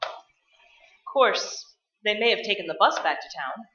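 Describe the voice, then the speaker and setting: A woman's voice: medium pitch, animated, measured pace, bright, forceful tone, a little hiss or hum. A woman playing a skeptical, tight-fisted property owner, curt and snippy, in a staged old-time radio play. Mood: impatient. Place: live stage performance. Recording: dull, echoey live stage recording.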